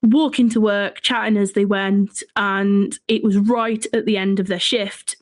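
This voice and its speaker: A woman's voice: mid-range voice, fairly even intonation, measured pace, no echo. A woman with a Shropshire English accent, telling history conversationally as an interview guest. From Shropshire, England. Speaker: podcast guest, folklore and history writer.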